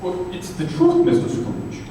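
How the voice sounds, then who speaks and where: An actor playing a polite, earnest gentleman charity collector in a radio play, courteous and a little hesitant. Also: a little slow, close to an everyday voice. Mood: polite, disappointed. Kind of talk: old-time radio play acting. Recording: distant echoey hall, noticeable hiss.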